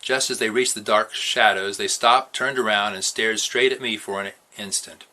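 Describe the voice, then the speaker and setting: A man reading a novel aloud as an expressive storyteller. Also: fairly low pitch, normal speed. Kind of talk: expressive read-aloud narration.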